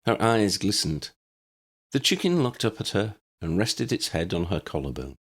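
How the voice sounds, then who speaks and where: A man's voice: lowish voice, sing-song, normal speed, breathy edge. A man reading scripted story narration as an audiobook narrator.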